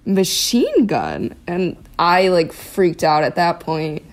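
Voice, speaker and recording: Young american woman chatting about something that happened to her, kinda low voice, says like a lot. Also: big pitch swings, takes it slowly. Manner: chatty, peppered with "like". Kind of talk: casual recollection.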